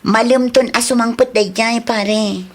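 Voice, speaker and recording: Female actor as talkative neighbourhood wife, higher-pitched, Ilocano accent, familiar manner of an old radio serial. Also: steady tone, a little slow. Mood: chatty. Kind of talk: Ilocano radio drama acting.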